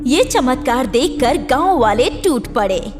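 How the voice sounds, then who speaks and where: A woman's voice: high voice, sing-song, a little slow, music in the background. A woman narrating a scripted moral story for a children's cartoon.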